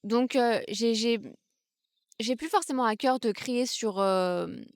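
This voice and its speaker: A young woman from Brittany, France, talking casually outdoors on a vlog and musing with many pauses and restarts. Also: a little high, clean tone, no room sound, clean studio sound. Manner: thoughtful, hesitant, many fillers and restarts. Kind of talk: casual reflective vlog talk. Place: outdoors in the countryside, seated on a wooden stool.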